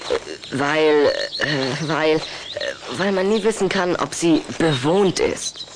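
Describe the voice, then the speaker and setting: A man's voice: fairly high pitch, takes it slowly. A man playing a wary, cheeky schoolboy in a German audio drama, questioning back sceptically. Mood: hesitant, evasive. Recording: echoey, distant, faint noise.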